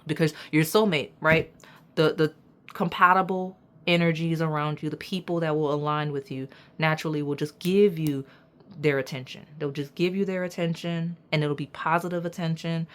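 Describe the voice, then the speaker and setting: A woman doing a pick-a-card tarot reading with a raspy voice, talking straight to her viewers in an emphatic, preachy way and checking in with "okay?" and "right?". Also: sits low, fairly even intonation, moderate pace. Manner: casual slang, addresses viewers as y'all. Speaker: tarot reader.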